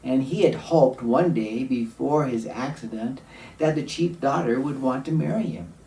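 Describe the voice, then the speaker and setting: A man telling a story aloud to children, deliberate, with dramatic pauses and questions for the listener. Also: mid-range voice, mellow. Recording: roomy echo, distant mic, faint noise.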